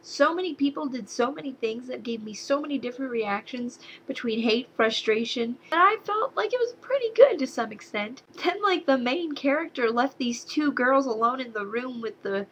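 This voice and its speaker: A woman's voice: high-pitched, moderate pace, hardly any pauses, slight background noise, poor audio. A young woman with a slight Southern accent reviewing a horror movie in a casual, sarcastic way, ranting with exasperation at the characters. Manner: sarcastic, exasperated, animated rants.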